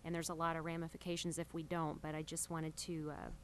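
A woman with a somewhat low voice addresses a public meeting as a council member. She is earnest and circles around her point. There is faint noise behind her. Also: completely flat delivery, fast talker.

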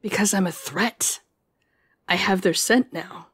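Husky, brooding werewolf woman, acted by a woman. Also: mid-range voice, unhurried, a bit bright, no room sound. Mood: tense, guilty. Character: brooding, guilt-ridden young female werewolf, stubborn and self-loathing. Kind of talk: dramatic audio roleplay acting.

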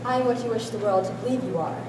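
A woman's voice: a little high, racing, close to an everyday voice. A young woman in an amateur stage play, playing a wife's poised, calculating social mask with suave, formal diction. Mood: poised, superior. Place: large school hall with small audience. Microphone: far camera. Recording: echoey hall, distant camera, room noise.

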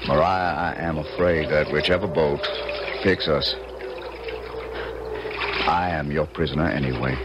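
An actor playing a weary, gallant army colonel in a seventies radio drama. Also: male voice, extremely low pitch, steady tone, a little slow, long pauses, dull audio. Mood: weary, tender. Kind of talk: 1970s radio drama acting. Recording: heavy water noise, 1970s radio drama.

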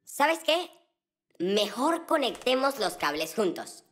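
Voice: mid-range voice, very animated, slow, a bit bright. An actor voicing a brash, reckless young boy creature in a children's cartoon dub, cocky and impatient. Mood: confident, reckless. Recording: music playing behind the voice.

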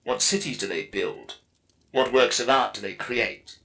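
A man voicing a haughty, scornful alien female warrior in a measured, disdainful declamation, with a voice effect, for an audiobook. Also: medium pitch, expressive, creaky, bright, forceful tone, exaggerated voice. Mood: cold contempt. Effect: voice effect on dialogue.

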